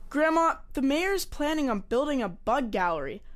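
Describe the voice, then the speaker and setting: A woman's voice: high-pitched, big pitch swings, moderate pace, background noise. A woman playing an earnest, worried young grandson in an audio drama, questioning and plain-spoken. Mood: worried, puzzled.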